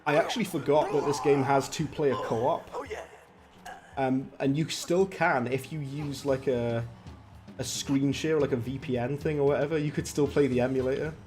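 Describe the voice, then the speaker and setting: A man's voice: mid-range voice, fairly even intonation, moderate pace, a little mellow. A man with a Welsh accent giving chatty, laughing gameplay commentary as he talks to his viewers. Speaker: gaming streamer. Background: game music and sound effects.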